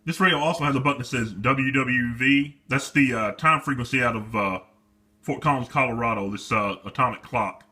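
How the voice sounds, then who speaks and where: Casual man with a bright voice. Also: medium pitch, a little fast, slight background noise. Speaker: seller demonstrating a radio. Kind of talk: casual product demonstration.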